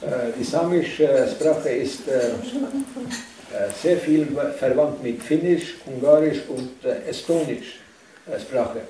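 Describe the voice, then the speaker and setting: A man interpreting for a tour group, halting and hesitant, filling pauses as he searches for words. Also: medium pitch, lively intonation, warm tone. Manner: hesitant, many fillers and restarts. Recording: echoey room from far off with faint noise.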